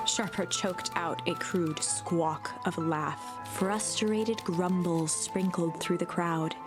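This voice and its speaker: A woman's voice: fairly low pitch, slow pace, over music. A woman reading scripted, storybook-style narration for a video game.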